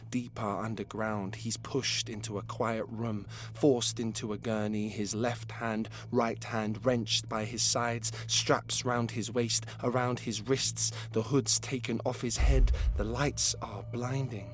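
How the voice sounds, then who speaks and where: Slow, deliberate male storyteller. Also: mid-range voice, a bit bright. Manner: deliberate, dramatic reading in short measured phrases. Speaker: narrator. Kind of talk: scripted audio drama narration.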